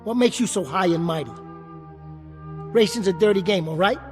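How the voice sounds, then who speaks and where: An actor playing a small, bitter, hot-tempered jockey in a radio drama, with a streetwise, combative, slangy way of talking. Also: male voice, high voice, moderate pace, a little mellow, soft background music, lightly acted. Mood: indignant pleading.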